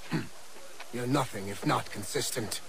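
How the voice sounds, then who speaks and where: An actor playing a blustery, excitable officer of the American Revolution in a video game, with theatrical old-fashioned phrasing. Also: male voice, mid-range voice, moderate pace, gravelly, slight background noise. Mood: wry.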